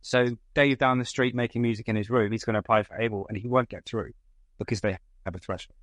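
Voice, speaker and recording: A man's voice: mid-range voice, fairly even intonation, fast, fairly bright tone, dry recording, clean studio sound. A man being interviewed as a guest who runs a distribution company, restarting and repeating words as he explains his views. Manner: stammers, repeats words, uses analogies. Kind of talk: interview, conversational.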